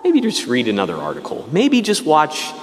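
A wheedling, persuasive inner voice that urges one more indulgence, put on by a man preaching. It is pushed well above his usual low pitch and bounces around. Long church reverb trails behind it. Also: wildly swooping pitch, normal speed. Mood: coaxing. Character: coaxing tempting inner voice, male.

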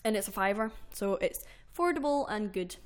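A young woman with a fairly low voice, chatting casually and rambling with lots of fillers as a podcast co-host. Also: lively intonation, brisk. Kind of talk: casual chat.

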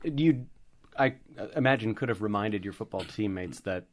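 A man's voice: lowish voice, normal speed. A man interviewing a guest in a casual, friendly way with short prompts and questions.

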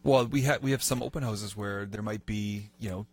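A man's voice: lowish voice, moderate pace, a little mellow. A man working as a real estate agent, chatting on a radio show in an easygoing, practical way. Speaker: real estate sales representative. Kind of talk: casual radio chat. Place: radio studio.

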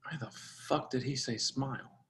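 A man's voice: mid-range voice, measured pace, fairly bright tone, lightly acted. An actor playing an overworked, polite and hesitant professional man in a dramatic screenplay reading. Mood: muttering, baffled. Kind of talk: dramatic table read.

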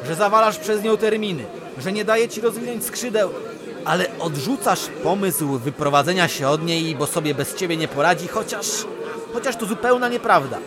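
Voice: blunt, higher-pitched man acting as a young friend. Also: lightly acted. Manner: blunt, sarcastic, colloquial. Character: blunt, impatient young male friend giving advice. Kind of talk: radio comedy drama acting. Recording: busy urban crowd behind the voice.

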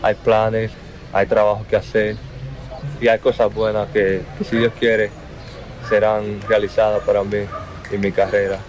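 A young man in his twenties with a Dominican accent, speaking in an interview in a slow, halting way that repeats words. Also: on the low side, little pitch movement, a little mellow, poor audio. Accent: Dominican Spanish. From Dominican Republic. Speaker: basketball player interviewed. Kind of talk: outdoor interview.

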